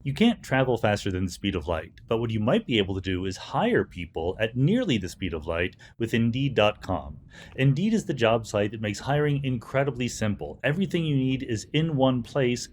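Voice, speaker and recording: A man's voice: mid-range voice, slight background noise. A man hosting a podcast, speaking in an articulate, explanatory way. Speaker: podcast host. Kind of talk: podcast hosting, interview talk and ad read.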